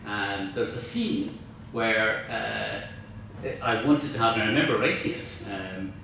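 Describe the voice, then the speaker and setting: A man gives an informal speech in a large, strongly reverberant room, picked up from a distance over faint noise. He is enthusiastic and emphatic, often feeling his way towards the next word. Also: mid-range voice. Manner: enthusiastic, emphatic, searching for words. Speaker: guest speaker, radio dramatist. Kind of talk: informal after-dinner talk about writing. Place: large indoor room at a club dinner.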